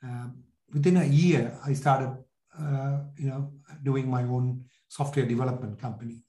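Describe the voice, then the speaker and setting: A man in his sixties with a Sri Lankan accent speaks in a relaxed, mellow tone. He recounts his experiences reflectively, often pausing to rephrase. The sound is noticeably echoey, as in a live room. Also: medium pitch. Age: 60s. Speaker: podcast guest, entrepreneur. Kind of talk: conversational interview, telling life story.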